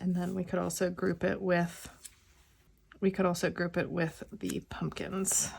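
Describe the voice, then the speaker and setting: A middle-aged woman with a cold and a slightly hoarse voice, musing aloud in a casual plan-with-me vlog at home. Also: lowish voice, level tone, darkish tone. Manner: unhurried, musing aloud while crafting. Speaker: planner vlogger. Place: quiet home.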